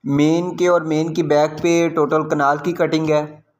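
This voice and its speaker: A man's voice: a little high, level tone, measured pace. A man with a Pakistani accent giving informal, explanatory commentary on a property site visit as a real estate video host.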